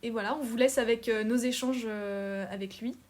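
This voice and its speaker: A woman's voice: fairly high pitch, steady tone, moderate pace. A young woman, a student, hosting a podcast, introducing the guest and asking questions in a friendly way with frequent fillers. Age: young adult.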